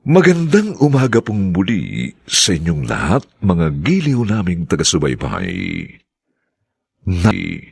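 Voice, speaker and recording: A man introduces a radio drama in a grand, declamatory style and stretches out the title. His voice is very husky and raspy. His pitch swoops widely from line to line. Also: huge pitch swings. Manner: sweeping, declamatory, drawn-out title readings. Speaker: radio drama announcer and narrator. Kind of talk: theatrical radio announcing.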